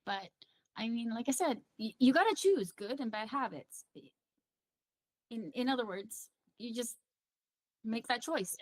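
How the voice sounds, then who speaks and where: Woman, Pangasinan first language, Filipino-raised chat-show co-host; fairly high, dry close sound, reflective advice-giving delivery. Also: expressive, a little slow, long pauses, no room sound. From Philippines. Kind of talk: casual chat.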